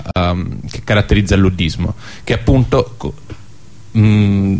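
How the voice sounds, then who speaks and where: A man speaking unscripted in a radio discussion, halting and repeating words as he searches for phrasing. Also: fairly low pitch.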